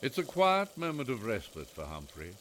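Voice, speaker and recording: A man's voice: mid-range voice, huge pitch swings, normal speed, fairly bright tone, a little hiss or hum. A man playing the narrator of a radio play, telling the story in scripted, descriptive prose. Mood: measured storytelling.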